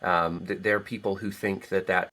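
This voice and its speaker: A man's voice: low-pitched, steady tone, normal speed, bright, forceful tone. A middle-aged American man talking casually and reflectively as a guest author in an interview.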